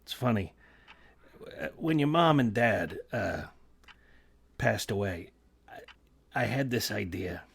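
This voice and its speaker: A gentle, good-natured uncle in his sixties, played by a man, talking in halting, rambling bits full of fillers. His pitch rises and falls a lot. It is a radio play reading. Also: animated, creaky, breathy edge, heavily acted. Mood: fond.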